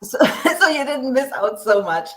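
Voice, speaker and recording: A woman's voice: sits high, very animated, a little slow, a crowd in the background. Woman with a Polish-German accent. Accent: Polish-German mix. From Poland, raised in Germany. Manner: stammering restarts, frequent ums, laughs easily. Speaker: guest author. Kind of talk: casual interview.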